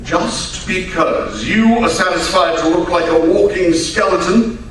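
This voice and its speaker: A man plays a blustery, grumbling detective's sidekick in a radio play. His fairly high voice swoops about with incredulous exclamations. It is heard at a distance in a very echoey space. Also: expressive, measured pace, fairly bright tone. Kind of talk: old-time radio drama acting. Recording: echoey live stage, distant mic, faint noise.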